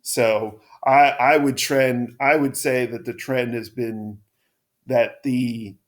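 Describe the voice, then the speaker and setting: A man giving a casual weather forecast over a map. His voice is froggy and hoarse, and he talks haltingly with many restarts. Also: mid-range voice, fairly even intonation.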